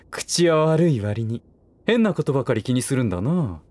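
A man's voice: mid-range voice, animated, measured pace, a little mellow, dry recording, lightly acted. An actor playing a smug, condescending aristocratic businessman in a Japanese voice drama, with languid, lordly, teasing phrasing. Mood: amused, insistent.

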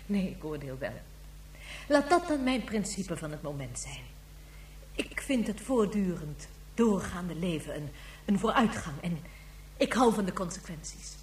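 An earnest female character acted by a woman in a sci-fi radio play argues with measured insistence. Her voice is echoey and somewhat distant, over a faint hum. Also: mid-range voice, close to an everyday voice. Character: earnest, probing woman defending endless life extension. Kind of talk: Dutch science fiction radio drama acting.

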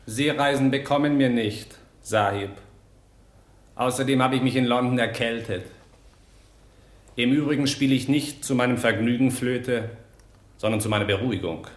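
An actor playing a vain, indignant old Indian fakir in a comic radio play, grand and theatrical in manner. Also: male voice, medium pitch, steady tone, normal speed, long pauses, reverberant, lightly acted. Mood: indignant, offended. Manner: pompous, grandiose, easily offended, theatrical. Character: vain, indignant eighty-year-old Indian fakir, proud of his tortures.

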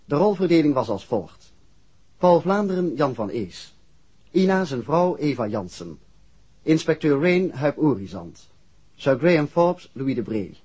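A man's voice: on the high side, very animated, unhurried, darkish tone, husky. A man with a Dutch accent formally announcing credits as a 1960s radio announcer. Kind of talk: formal read cast credits. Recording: muffled 1960s radio recording.

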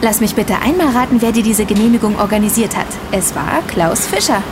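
An actress in an audio drama playing a sensible young investigator, matter-of-fact and dryly teasing. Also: female voice, mid-range voice, lively intonation, a little fast, music in the background, lightly acted. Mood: wry. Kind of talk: German audio drama dialogue. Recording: clear street and traffic ambience. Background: outdoor city street with vehicles.

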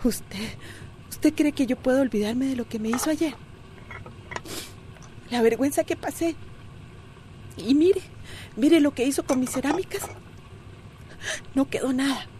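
A woman's voice: high-pitched, unhurried, long pauses, warm tone, a little hiss or hum. An actress with a Costa Rican accent playing a wronged housewife in a radio soap opera, reproachful and hurt as she stands up for herself. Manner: reproachful, wounded, formal in address.

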